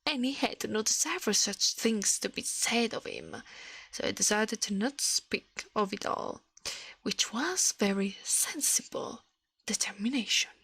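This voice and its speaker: A woman with an Italian accent speaking softly in an ASMR livestream, often whispering, close to the microphone. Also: medium pitch, big pitch swings, unhurried, dry recording, poor audio. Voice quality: soft, breathy, often whispered. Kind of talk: ASMR, soft-spoken and whispered storytelling and chat.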